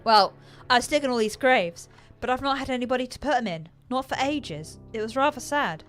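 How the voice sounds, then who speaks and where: A woman's voice: on the high side, brisk, fairly bright tone, soft background music, voice pushed up, close to an everyday voice. A woman voicing a female gravedigger who speaks in an offhand, empty, reasonable-sounding way. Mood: wistful, offhand.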